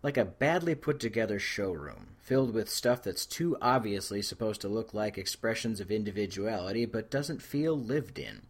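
A man reading a scripted story aloud as an expressive narrator. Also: on the low side, moderate pace, few pauses. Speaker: fan fiction reader.

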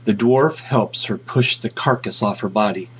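A man's voice: fairly low pitch, moderate pace, a little hiss or hum. A man reading a piece of fiction aloud as scripted narration. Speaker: fan fiction reader. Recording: dull, lo-fi audio.

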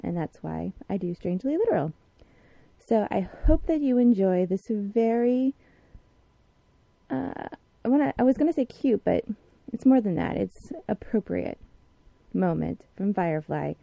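A woman's voice: mid-range voice, creaky, no echo, lo-fi sound. A woman hosting a podcast, giving a casual spoken introduction. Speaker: podcast host.

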